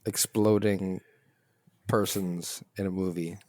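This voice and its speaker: A man's voice: low voice, steady tone, slow, a little mellow. A man hosting a casual film podcast, with a dry, teasing way of talking. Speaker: podcast host.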